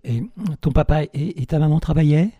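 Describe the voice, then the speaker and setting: A man's voice: a little high, fairly even intonation, fairly quick, dry recording, highs cut off. A man hosting a radio interview, introducing his guest in a rambling, hesitant way full of fillers.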